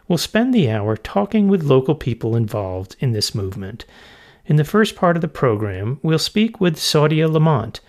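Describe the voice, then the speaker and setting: A male radio host with a middle-range voice reads his show's opening in a steady, measured way. His delivery is scripted and composed, like someone long used to the mic. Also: mid-range voice. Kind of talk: scripted radio introduction and interviewing.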